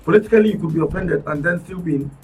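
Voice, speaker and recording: Guy on a radio panel, talks fast, jumps in a lot, a little far from the mic with music under. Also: on the high side, darkish tone, a little hiss or hum. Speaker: studio guest, activist and politician. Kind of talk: panel discussion.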